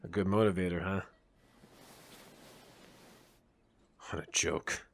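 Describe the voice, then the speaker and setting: A man's voice: deep voice, fairly even intonation, fast, vocal fry, a little hiss or hum. A man playing a bitter, stubborn, sarcastic injured teenage boy in an audio drama, sullen and grumbling. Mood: incredulous.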